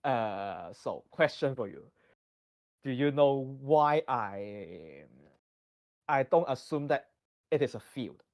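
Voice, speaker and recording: A man with a Hong Kong accent presenting a math lesson from slides, halting and full of restarts. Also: medium pitch, animated, darkish tone, dry recording. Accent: Hong Kong English. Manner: halting, many restarts and fillers. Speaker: guest presenter.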